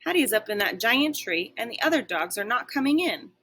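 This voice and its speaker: A woman reading a children's story aloud like a teacher, with expressive narration. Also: a little high, a little fast, a little hiss or hum.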